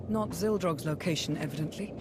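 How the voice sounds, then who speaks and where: Woman playing a sceptical advisor over loud noise. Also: low-pitched, brisk, lightly acted. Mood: dry. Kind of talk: video game cutscene acting.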